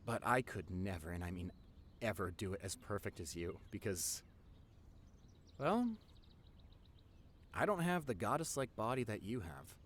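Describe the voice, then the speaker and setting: A man acting an excitable, lively-voiced nerdy boyfriend. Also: on the low side, measured pace, long pauses, a little hiss or hum. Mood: affectionate, encouraging. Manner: stammering, excitable, affectionate, teasing. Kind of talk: boyfriend roleplay audio, intimate one-sided dialogue.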